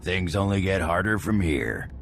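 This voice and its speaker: A man's voice: low voice, animated, normal speed. An actor playing a dry, wry male official of a hunting contest in a game cutscene.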